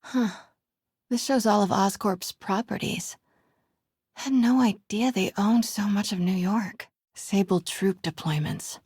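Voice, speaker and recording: A curious young woman talks to herself in a video game while sneaking around. Her voice is breathy and slightly husky at an average pitch, recorded dry. She is wry and quick-witted, keeping to hushed self-talk. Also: dry recording. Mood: curious, focused.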